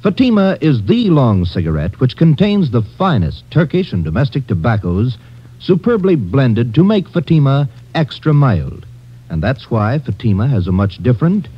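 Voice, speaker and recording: A man delivering an emphatic 1950s radio sales pitch as a commercial announcer. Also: big pitch swings, moderate pace, slightly rough. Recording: muffled vintage radio recording.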